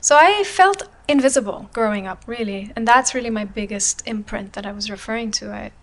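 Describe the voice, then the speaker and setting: A woman's voice: mid-range voice, brisk, fairly bright tone. A woman raised in Germany, a podcast guest talking openly and reflectively about her childhood, often saying "you know". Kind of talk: candid personal storytelling.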